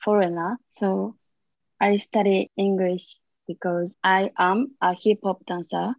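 A woman's voice: mid-range voice, flat delivery, measured pace, creaky, darkish tone, no echo. A woman from Japan with a Japanese accent, speaking plain, hesitant English as a student on a video call. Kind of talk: video call class introduction. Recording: dull, muffled call audio.

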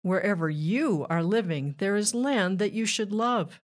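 A woman reading a rhyming children's book aloud with measured, deliberate phrasing. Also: mid-range voice. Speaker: children's author and narrator.

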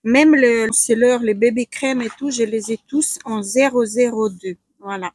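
A Ukrainian-accented woman in her forties talks her viewers through a makeup test at home, pausing with fillers as she works. Her voice sits high and a little breathy. The sound is dry and close, with little room echo. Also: steady tone, a little mellow. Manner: chatty, hesitant with many fillers while applying makeup. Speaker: beauty vlogger. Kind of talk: casual makeup tutorial chat. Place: small room at home, indoors.

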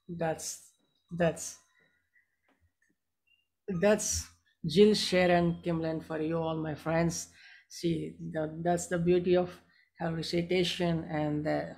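Gravelly-voiced host, halting and polite. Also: mid-range voice, a little mellow. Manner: hesitant, polite, repeats words and phrases. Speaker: host of an online poetry show. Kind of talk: hosting a live poetry gathering. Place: online video call.

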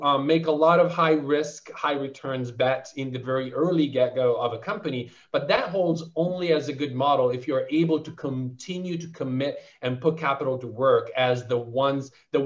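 A man's voice: medium pitch, dull audio. A man on a panel discussion explaining his views as a venture investor, articulate but full of restarts and fillers.

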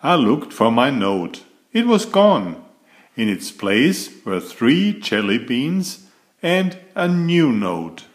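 A man reads a children's picture book aloud at an unhurried pace. His voice sits in a middle pitch but swings widely, and he lifts it for the girl's lines. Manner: slow, lively storybook reading, raising his pitch for the girl's lines.

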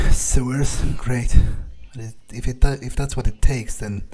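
A man's voice: mid-range voice, steady tone, a little slow, dark tone. A man role-playing a game character in a playthrough, thinking aloud in a hesitant, musing way. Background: game music.

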